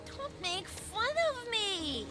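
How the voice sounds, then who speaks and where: A woman's voice: very high-pitched, sing-song, slow pace, music in the background, full character voice. A woman playing a childish, insecure goddess in a video game, with a hesitant, plaintive delivery. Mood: unsure. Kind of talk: animated video game acting.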